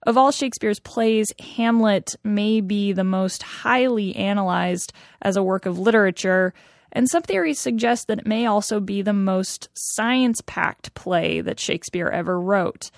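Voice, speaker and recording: A woman hosting, reading smooth scripted narration into a close studio mic. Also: mid-range voice, measured pace, dry recording. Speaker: host narrator.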